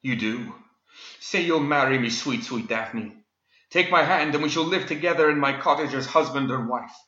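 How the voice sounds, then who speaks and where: A man's voice: medium pitch, moderate pace, pushed and bright, voice pushed up, natural voice. A man voicing a dashing, humble groundskeeper in a melodramatic romance, earnest, florid and pleading. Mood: lovestruck pleading. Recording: roomy, slightly dull recording.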